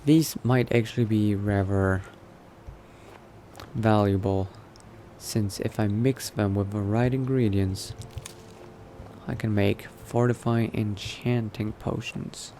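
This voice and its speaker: Slow, low-pitched man musing in character. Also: steady tone, warm tone. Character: newly turned vampire exploring new powers, musing adventurer. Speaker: roleplaying game player. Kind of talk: in-character gameplay narration, thinking aloud. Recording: noticeable background noise.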